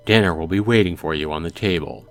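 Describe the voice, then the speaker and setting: A man reading a visual novel aloud, voicing a cheerful, teasing father in a relaxed, joking way. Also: deep voice, quick pace, soft background music, pitched below the performer's own voice. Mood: easygoing. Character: easygoing cheerful dad, teasing.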